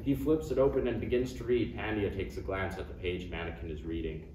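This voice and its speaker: Male narrator, low pitch, stage directions, distant mic, noisy background. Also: steady tone, a little fast, a little mellow, roomy sound. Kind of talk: scripted narration at a live table read.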